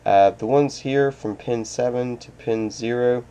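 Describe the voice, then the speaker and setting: A man's voice: medium pitch, measured pace, mellow, smooth. A man hosting a hands-on electronics tutorial at his desk, talking casually with many ums and uhs while getting over a cold. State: recovering from a cold. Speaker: host of a hobby electronics channel. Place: home desk with computer.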